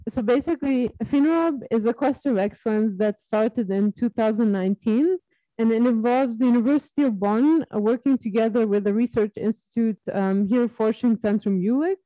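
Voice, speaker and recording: A woman's voice: a little high, dark tone, no room sound. Woman presenting research over a video call. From Serbia. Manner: measured, with frequent ums and uhs. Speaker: robotics researcher giving a webinar talk. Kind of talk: academic presentation. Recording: dull lo-fi video call audio.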